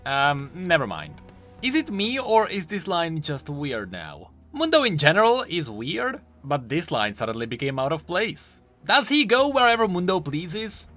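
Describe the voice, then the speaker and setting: A man's voice: a little high, wildly swooping pitch, unhurried, bright, forceful tone. A man hosting a video, giving scripted commentary on a game character in an explainer style. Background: music.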